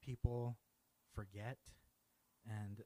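A man speaking as a podcast guest, giving an earnest and reflective personal testimony. His voice sits low and has a mellow, warm tone. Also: level tone, drawn-out words, poor audio. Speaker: interview guest.

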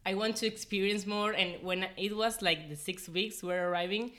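Bright-voiced young woman, earnest and informal. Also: mid-range voice, fairly even intonation, moderate pace. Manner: earnest, informal, frequent "like" and "you know". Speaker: podcast guest, former volunteer. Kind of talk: casual personal storytelling in an interview.

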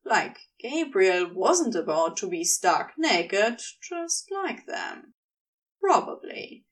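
A woman reading fiction aloud as an expressive narrator, with a wry, conversational way of telling the story. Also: mid-range voice, slow pace, recorded from a distance, rough recording. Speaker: audio fiction reader.

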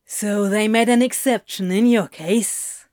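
A woman's voice: mid-range voice, steady tone, measured pace, a little mellow, no room sound, natural voice. A woman voicing a sardonic male author with a dry, drawling, mocking manner, as in an audiobook story. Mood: dry mockery.

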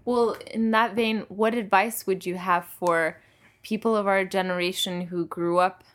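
A woman's voice: medium pitch, steady tone, measured pace. A young woman hosting a podcast, asking the guest curious questions and murmuring along as she listens. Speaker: podcast host. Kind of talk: interviewing.

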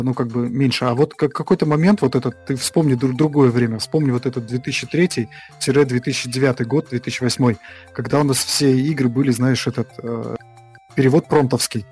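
A man co-hosting a casual podcast about games, talking in halting bursts full of fillers and restarts. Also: mid-range voice, a little mellow, husky, faint music behind. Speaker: podcast co-host.

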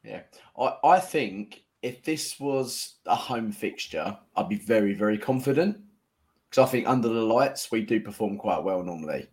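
Low-pitched man chatting football. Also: expressive, normal speed, a little mellow. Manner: informal, conversational. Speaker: football podcast co-host. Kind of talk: casual chat among fans.

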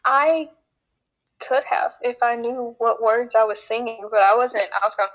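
A young woman trades playful teasing on a casual podcast. Her voice is hoarse and a little high, and the lo-fi recording sounds dull. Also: measured pace, fairly bright tone.

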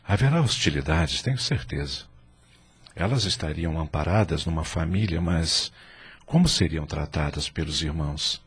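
A man's voice: a bass voice, animated, moderate pace, breathy edge, exaggerated voice. An actor playing a remorseful, anxious middle-aged husband and father in a radio soap opera, speaking solemnly with formal diction. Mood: anxious brooding.

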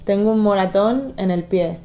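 A woman's voice: medium pitch, normal speed, dark tone. A woman in a bilingual lesson, repeating words after the teacher and asking how to say phrases. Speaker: learner in the lesson.

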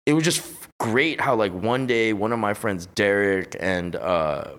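A young man from Los Angeles rambles through a casual podcast chat, full of slang, swearing and fillers. His voice sits a little low. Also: a little slow, fairly bright tone. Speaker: podcast guest. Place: hot room on a high floor.

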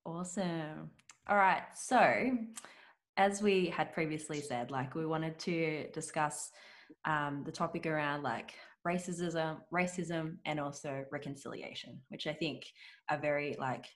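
Low-voiced woman hosting a podcast. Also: unhurried. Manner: warm-hearted, chatty, with frequent fillers and laughs. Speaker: podcast host. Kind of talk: casual interview hosting.

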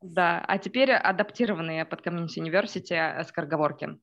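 A woman's voice: fairly low pitch, fast talker, a bit bright. Encouraging woman co-host, dull sound. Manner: encouraging, praising. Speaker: radio co-host. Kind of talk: hosting a casual chat.

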